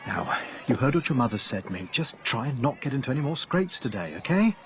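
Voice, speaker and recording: A man's voice: mid-range voice, very animated, brisk, fairly bright tone. An actor in a radio drama playing a dismissive, easygoing husband and father who is easily exasperated. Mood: relaxed, dismissive.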